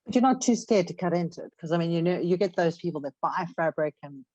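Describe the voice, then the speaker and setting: A woman with a fairly low voice, chatting and joking on a podcast, full of fillers. Her mic sounds dry and a bit muffled. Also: animated, fast talker, warm tone, no room sound. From South Africa. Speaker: podcast co-host. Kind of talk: casual chat.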